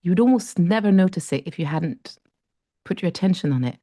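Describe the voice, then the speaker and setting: A woman guiding a breathing meditation, speaking slowly and gently with long pauses. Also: on the low side, expressive, dry recording, clean studio sound. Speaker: meditation guide.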